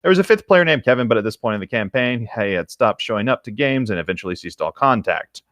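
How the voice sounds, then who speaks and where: A man's voice: mid-range voice, animated, quick pace, a bit bright, dry recording, clean studio sound. A man co-hosting a story-reading show, speaking in an informal, conversational style. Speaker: co-host reading online stories. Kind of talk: casual chat and story reading.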